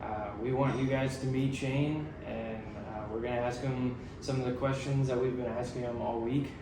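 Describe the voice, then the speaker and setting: Male podcast host, mid pitch, halting delivery, mic far off in an echoey room. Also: steady tone, background noise. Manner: halting, many fillers and restarts. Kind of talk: casual podcast hosting.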